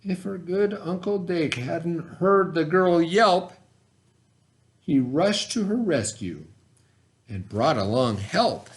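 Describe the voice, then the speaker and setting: Slow, very animated male storybook reader. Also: a little high. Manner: theatrical, dramatic pauses. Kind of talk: expressive read-aloud of rhyming children's story.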